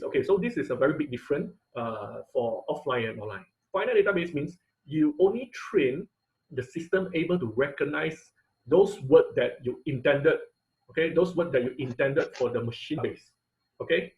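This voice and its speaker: A man's voice: medium pitch, rough recording. A man giving an informal technical lecture as an online instructor, often repeating phrases and checking in with okay and right.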